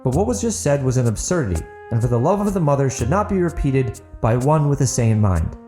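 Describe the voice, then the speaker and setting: A bold chieftain of a fantasy audiobook, played by a man, argues his case with formal, persuasive oratory. His voice is lifted in pitch and forceful, with faint music underneath. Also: very animated, brisk, natural voice. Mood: blunt, indignant. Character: outspoken diplomatic male chieftain, bold and persuasive.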